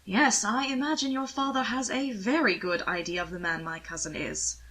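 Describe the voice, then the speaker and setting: Woman voicing a suave, teasing gentleman cad, bright. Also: mid-range voice, normal speed, natural voice. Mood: wry, calculating. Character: amused, rakish young aristocratic gentleman, a teasing cad. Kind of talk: audiobook character voice.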